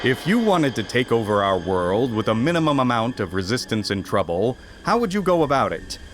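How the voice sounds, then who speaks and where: A man's voice: mid-range voice, sing-song, fairly quick, noisy background. A man delivering a dramatic, scripted introduction in the style of a 1950s radio announcer. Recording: loud music behind the voice.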